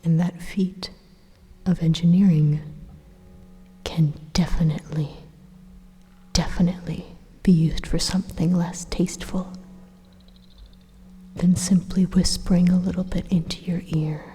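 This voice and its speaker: Woman as a slow, whispering evil supercomputer. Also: lowish voice, level tone. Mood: quiet menace. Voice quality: breathy, soft whisper. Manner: slow, deliberate, coaxing, quietly threatening. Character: evil supercomputer AI speaking inside your mind, calmly menacing. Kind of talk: whispered ASMR roleplay monologue. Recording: long echoing reverb on close whisper.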